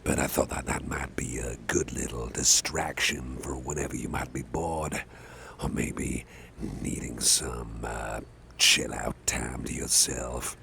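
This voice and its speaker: A man playing a caring, affable boss in an ASMR roleplay, talking softly in a gentle, hesitant, chatty way with a gravelly voice. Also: steady tone, a little slow, heavily acted. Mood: reassuring, relaxed. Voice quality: deep, gravelly, breathy at times. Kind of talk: ASMR roleplay, soft close personal talk. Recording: faint background noise.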